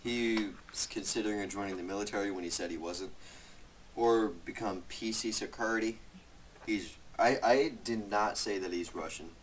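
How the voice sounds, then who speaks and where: A man's voice: on the low side, moderate pace. Young man joking, distant mic. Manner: joking, rambling. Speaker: video co-host. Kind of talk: casual banter, reading notes aloud. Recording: noisy, distant microphone with clicks.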